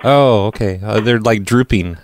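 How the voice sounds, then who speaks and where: A man's voice: lowish voice, moderate pace, natural voice. Man playing a tactless, hesitant lawn care worker. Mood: nonchalant. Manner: hesitant, blunt, unapologetic. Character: blundering, tactless male lawn care worker, casually unapologetic. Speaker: prank caller posing as a lawn care worker. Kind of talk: improvised prank phone call.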